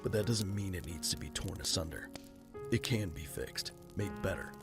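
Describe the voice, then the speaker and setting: A man playing a wry, guarded rich gentleman in an audio drama, speaking in a dry, understated way. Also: deep voice, lively intonation, normal speed, loud music behind the voice. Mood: measured, serious.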